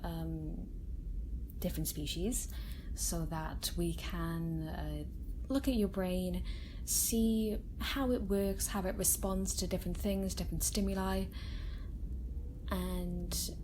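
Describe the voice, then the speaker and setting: A woman playing a kind, gentle scientist doctor in a soft-spoken ASMR roleplay, reassuring and a little hesitant. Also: lowish voice, fairly even intonation, slow, long pauses, background noise. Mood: gentle explaining. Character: kind, gentle female scientist doctor examining an alien patient. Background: sci-fi facility ambience.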